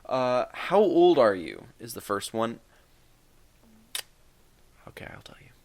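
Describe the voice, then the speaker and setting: A man's voice: mid-range voice, big pitch swings, slow, a little mellow, a little hiss or hum. A man in his twenties answering viewer questions in a casual, rambling, joking vlog. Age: 20s. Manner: rambling, joking, many fillers. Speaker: YouTube gaming creator.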